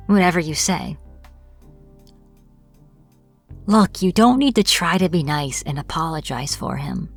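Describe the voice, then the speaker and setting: A woman's voice: sits low, moderate pace, soft background music. A woman acting as a captured witch in a fantasy audio roleplay, irritable and defiant, speaking informally with sarcastic exasperation. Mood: dismissive, sarcastic. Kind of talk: dramatic fantasy audio roleplay, addressed to the listener.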